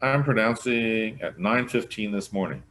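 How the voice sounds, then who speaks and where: A man's voice: on the low side, normal speed, a bit bright, a little hiss or hum, pitched above the performer's own voice, lightly acted. A man voicing a heavy, folksy old justice of the peace in a mystery storybook.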